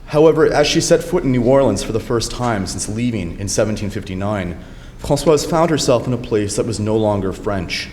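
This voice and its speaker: A young man delivers an academic lecture in a somewhat low voice, friendly and measured, with the odd filler as he finds his words. The sound rings with long hall reverb over a faint hiss. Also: expressive, a little mellow. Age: young adult. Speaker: lecturer, doctoral candidate.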